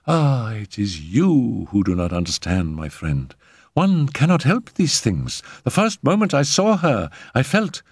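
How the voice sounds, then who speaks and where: A man's voice: medium pitch, huge pitch swings, unhurried, breathy edge, no echo, studio quality, pitched above the performer's own voice, full character voice. A man voicing an exhausted, anxious woman who pleads with agitated, emphatic repetition in a dramatic story reading. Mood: fearful, impatient. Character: anxious, exhausted, high-strung Frenchwoman, a spirit medium.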